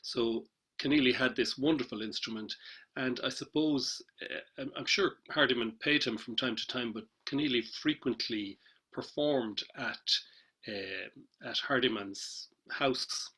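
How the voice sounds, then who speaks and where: Male panellist, husky tone, halting unscripted delivery over a video call. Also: mid-range voice, bright, forceful tone. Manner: hesitant, many fillers and restarts. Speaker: panellist, traditional musician. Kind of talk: casual webinar talk.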